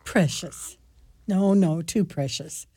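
A woman's voice: fairly low pitch, slow, dark tone, husky, no room sound, full character voice. A woman playing a tart, sarcastic convent sister in a community radio play. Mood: dry. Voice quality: dry and sarcastic. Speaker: nun. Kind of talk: community radio drama acting.

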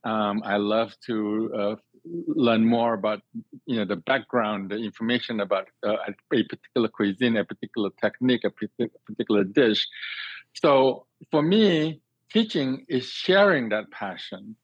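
A man from Singapore with a fairly low voice talks as an interview guest in a dry, slightly dull recording. He explains at length and earnestly, circling back over words and rephrasing as he searches for the right one. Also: moderate pace, dry recording. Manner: hesitant, repeats and reworks phrases while thinking. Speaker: guest, cook and cooking teacher. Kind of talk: conversational interview answers. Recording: dry, slightly dull band-limited sound.

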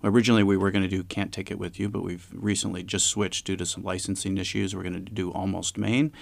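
A man's voice: deep voice, little pitch movement, fast talker, vocal fry, no room sound. A man speaking as a community theater director, answering conversationally in an interview.

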